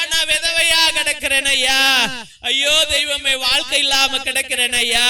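Male preacher, very high and piercingly bright, wailing intercessory prayer. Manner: wailing, pleading, repetitive lament.

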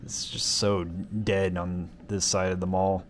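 A man reading a mall's history aloud and making quiet offhand remarks while walking through a large, empty indoor mall. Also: sits low, little pitch movement, takes it slowly, slight background noise. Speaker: mall explorer vlogger.

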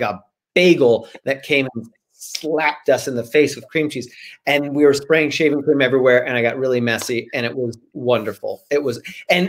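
An American man in his forties talks as a guest author on a video call. He is animated and playful, telling things casually in a loose, rambling way. His pitch sits in the middle range for a man. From Rhode Island, United States. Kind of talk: casual storytelling in an online interview. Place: small home room, indoors. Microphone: computer mic on a video call.

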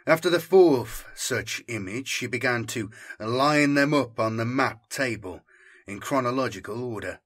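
A man narrating a science fiction audiobook in a measured, deliberate, dramatic storytelling manner. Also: medium pitch, fairly bright tone.

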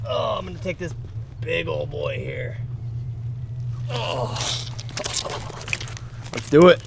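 A man's voice: on the high side, big pitch swings, slow pace, vocal fry. A young man hosting an outdoor trapping vlog, talking casually to the camera with laid-back slang and folksy exclamations. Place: outdoors by creeks and marshy fields. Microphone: camera-mounted shotgun mic. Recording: outdoor location sound with faint background noise.

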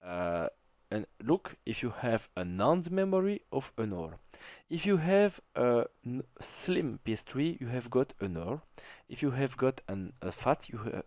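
A young man from Belgium whose first language is French, walking through a console tutorial in halting English with frequent restarts and self-corrections. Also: mid-range voice, very animated, a little mellow, no echo. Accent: French-speaking Belgian. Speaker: tech tutorial maker. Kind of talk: screen-recorded how-to walkthrough.

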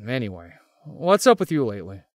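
A man's voice: mid-range voice, big pitch swings, a little fast, no room sound, clean studio sound, natural voice. A man playing a hardworking, teasing young best friend in a visual novel. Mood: casual, wry. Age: young adult.

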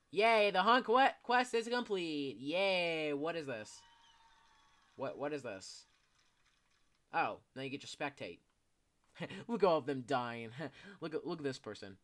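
A young man giving casual, excitable commentary while playing a video game, swearing often and yelling when chased. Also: a little high. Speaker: gameplay commentator. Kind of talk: casual live gameplay commentary.

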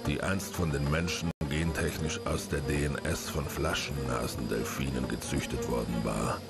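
A man reading scripted narration for a science-fiction audio drama. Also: rumbling low.

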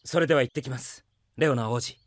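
An actor playing an earnest, polite young heroic knight in an anime audio drama. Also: male voice, medium pitch, quick pace, dry recording, close to an everyday voice.

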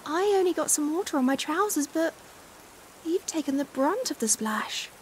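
A British woman playing a caring, fussing young stranger in a chatty, expressive ASMR roleplay. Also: high voice, normal speed. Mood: concerned, fussing. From United Kingdom. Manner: chatty, expressive, giggly hesitations. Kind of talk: acted ASMR roleplay, conversational. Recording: hiss and steady rain sound. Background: rain falling.

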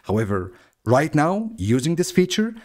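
A man whose first language is Arabic, narrating a step-by-step software tutorial in a steady, explanatory way. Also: a little high, moderate pace. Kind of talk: screen-recorded software walkthrough.

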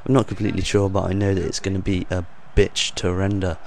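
A young man with a croaky voice hosting his gaming channel, rambling off the cuff with lots of ums and uhs over game footage. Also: deep voice, monotone, quick pace, a little mellow, a little hiss or hum.

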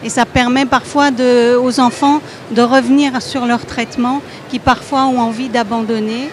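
A woman's voice: on the high side, steady tone, moderate pace. A woman representing a charity, explaining earnestly into a handheld mic in a large indoor arena. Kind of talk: interview answers. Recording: music and crowd noise behind.

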